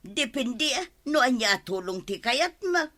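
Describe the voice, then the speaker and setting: Sassy, high, bright Ilocano village woman, acted. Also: very animated, unhurried. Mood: skeptical. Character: sassy, skeptical village woman critical of the captain. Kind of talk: Ilocano radio comedy drama.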